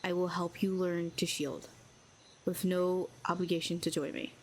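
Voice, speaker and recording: A woman playing a sardonic telepathic villainess, low-voiced. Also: level tone, moderate pace, a little hiss or hum. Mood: guarded. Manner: sardonic, cool, needling. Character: proud telepathic female villain, cynical, lonely. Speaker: audio roleplay performer. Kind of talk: scripted audio roleplay.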